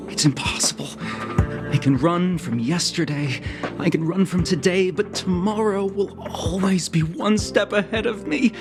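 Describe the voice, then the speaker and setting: An actor as a sentient machine in a video game, gloomy and poetic. The delivery is slow and highly theatrical, full of melodramatic despair. Loud music runs behind it. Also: natural voice. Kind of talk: video game cutscene voice acting. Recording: loud music bed underneath.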